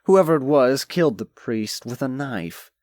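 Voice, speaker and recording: A man's voice: mid-range voice, lively intonation, moderate pace, darkish tone, no room sound, natural voice. A man playing a jaded, condescending nobleman who speaks in a curt, dry, analytical way in a dramatized fantasy audiobook. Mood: dry, matter-of-fact.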